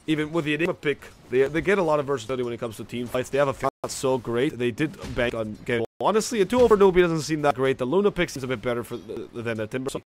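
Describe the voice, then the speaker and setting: A man calls a video game match alone at his desk, speaking into a close microphone. He rushes through the action and often restarts or corrects himself mid-phrase, at an ordinary middle pitch. A faint hum sits behind his voice. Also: animated. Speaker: esports commentator. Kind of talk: solo play-by-play game commentary.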